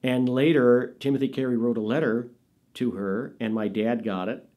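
Lively storytelling middle-aged man. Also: medium pitch, normal speed. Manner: animated, expressive storyteller. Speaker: interviewee. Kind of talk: casual storytelling.

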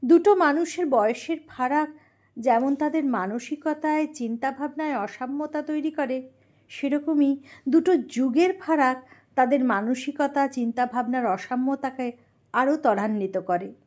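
A woman announcer introduces a radio play in a high voice. Her delivery is scripted and dramatic, like formal stage narration. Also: unhurried, a little mellow.

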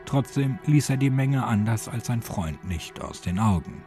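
A man's voice: medium pitch, lively intonation, measured pace, warm tone. A man narrating a horror story as a dramatic audiobook reading. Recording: music behind the voice. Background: music.

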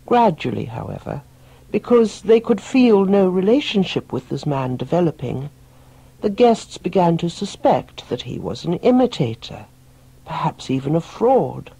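Soft, breathy storyteller with a deliberate delivery. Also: mid-range voice, big pitch swings, no room sound, low-quality recording. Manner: measured, deliberate delivery with dramatic pauses. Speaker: storyteller narrator. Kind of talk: scripted audiobook story narration.

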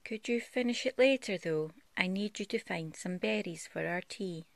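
A woman voicing a caring, protective father rabbit from a storybook in a gentle parental manner. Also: medium pitch, normal speed, vocal fry, no room sound, close to an everyday voice.

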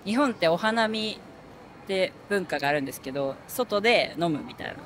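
A woman's voice: medium pitch, lively intonation, a little fast, slight background noise. A young woman in her twenties answers casually and rambles with fillers into a handheld mic on a street. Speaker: interviewee. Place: city street outdoors. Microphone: handheld mic held by interviewer.

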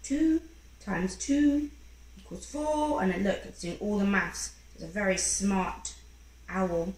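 A woman in her thirties reading a picture book to a young child in an expressive, encouraging way, pausing to ask questions. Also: mid-range voice. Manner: expressive, encouraging, acting out sound effects. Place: small room at home, indoors. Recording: roomy home recording with faint background noise.

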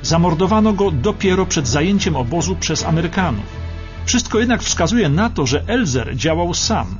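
A man's voice: on the high side, sing-song, normal speed. A man narrating a scripted history documentary for radio in a precise, emphatic, dramatic storytelling manner. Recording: slightly dull radio broadcast, music behind. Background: background music.

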